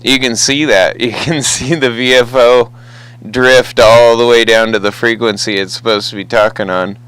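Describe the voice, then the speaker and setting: A man's voice: medium pitch, steady tone, normal speed, a bit bright, smooth, no echo, rough recording. An American man chatting casually over the radio as a hobbyist, with an easygoing, folksy manner. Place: two-way CB radio conversation.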